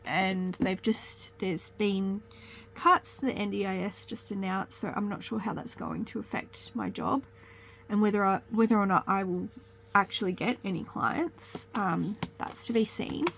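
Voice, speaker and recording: A woman's voice: medium pitch, fairly even intonation, a little slow, vocal fry, fairly bright tone, slight background noise, rough recording. Chatty, slightly sniffly woman. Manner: rambling, chatty, self-correcting. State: sniffly with a cold. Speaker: crafting channel host. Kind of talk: casual chat to camera.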